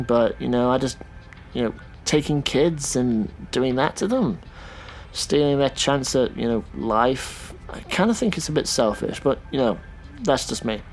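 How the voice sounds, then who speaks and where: A man plays a male taxi driver in a sci-fi audio play. He is easygoing yet opinionated, talking casually in a mid-pitched voice with small hesitations. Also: creaky. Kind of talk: science fiction audio drama acting.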